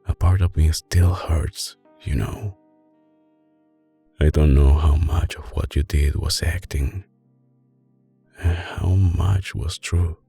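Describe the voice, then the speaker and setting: An extremely low, breathy man's performance of a male mafia boss in an ASMR romance roleplay, with an Italian accent. He speaks softly and very slowly, coaxing and tender yet possessive, in a dark, husky tone. Music plays behind him. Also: fairly even intonation, heavily acted. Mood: vulnerable, hopeful. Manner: slow, hushed, coaxing, with dramatic pauses. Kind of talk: intimate ASMR roleplay, soft spoken and whispered.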